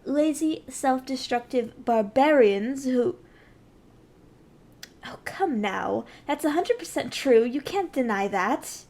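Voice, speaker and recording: A woman's voice: sits high, lively intonation, slow, darkish tone, exaggerated voice. A woman playing a haughty, condescending evil sorceress queen in an ASMR roleplay, with an imperious, theatrical and mocking delivery. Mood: contemptuous.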